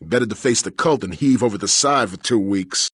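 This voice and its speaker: A laid-back fantasy adventurer for a game. His voice sits fairly low with a rough husk. The delivery is casual and wry, recorded dry and close. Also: brisk, fairly bright tone, no room sound. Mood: wry, friendly. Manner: casual, joking. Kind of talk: video game voice acting.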